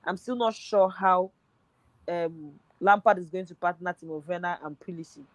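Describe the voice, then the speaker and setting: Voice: medium pitch, normal speed, no room sound. A speaker talking football on a casual podcast, thinking aloud with lots of fillers, voice nearly worn out. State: voice tiring, nearly gone.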